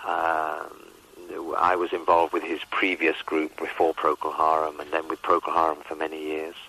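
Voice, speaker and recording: A man in his fifties answering questions in a measured, hesitant way on a radio phone interview. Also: a bass voice, completely flat delivery, creaky, sharp tone, slight background noise, rough recording. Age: 50s. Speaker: rock band manager, interview guest. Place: on a phone call to a radio show. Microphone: telephone.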